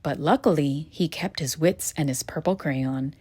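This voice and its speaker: A woman reading a children's picture book aloud in a gentle, expressive storytelling manner. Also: low-pitched, normal speed, slightly rough. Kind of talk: children's read-aloud narration.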